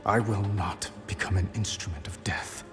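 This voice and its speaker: A noble young captive prince in a game cinematic, weary yet defiant. His lowish voice is earnest, set against prominent music. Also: steady tone, normal speed. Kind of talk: dramatic game cinematic acting. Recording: loud cinematic music behind.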